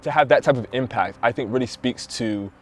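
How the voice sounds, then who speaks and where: A man's voice: medium pitch, a little fast, soft background music. A man speaking earnestly and passionately in a reflective documentary interview.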